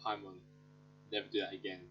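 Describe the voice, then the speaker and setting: A woman with a husky voice giving casual, offhand let's play commentary in a low-key way. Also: level tone, a little fast, slight background noise. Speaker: gaming YouTuber. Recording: dull home mic over game music.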